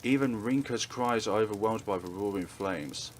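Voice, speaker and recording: A man's voice: fairly low pitch, moderate pace, slight background noise. A man reading a visual novel aloud in a dramatic narrating style as a let's play host. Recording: music playing behind. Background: game music.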